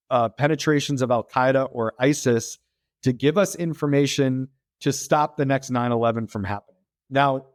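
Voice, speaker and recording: A man's voice: mid-range voice, no echo. Dry-sounding man explaining at length. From United States. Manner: explains at length with frequent fillers and restarts. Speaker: podcast guest, freight tech founder. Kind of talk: casual interview talk.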